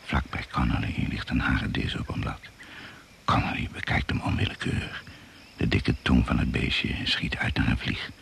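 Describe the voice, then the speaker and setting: A man narrating a radio drama in a gravelly, hushed, deliberate and suspenseful manner. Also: extremely low pitch, little pitch movement, whispered. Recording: noisy old radio play recording.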